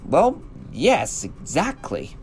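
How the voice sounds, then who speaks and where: A man playing a breezy, optimistic time-traveling adventurer in a sci-fi audio drama, with an expressive delivery. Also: a little high, slow pace, gravelly, a little hiss or hum, heavily acted. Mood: indignant, curious.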